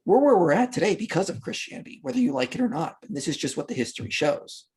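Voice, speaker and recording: A man's voice: high-pitched, very animated, quick pace, darkish tone, husky. A man speaking as an interview guest, explaining history in unscripted podcast talk with an emphatic, argumentative manner.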